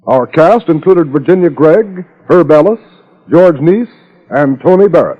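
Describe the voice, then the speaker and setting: A man with an American accent reading scripted credits as a 1950s network radio announcer, formal and measured. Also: a little high, expressive. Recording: dull vintage radio recording, music behind.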